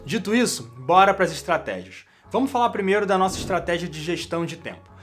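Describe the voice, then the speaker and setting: A man's voice: high voice, big pitch swings, fairly quick, music in the background. A man with a Brazilian Portuguese accent, hosting a career channel and explaining to camera, speaking straight to the viewer. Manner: direct, addresses the viewer as "you".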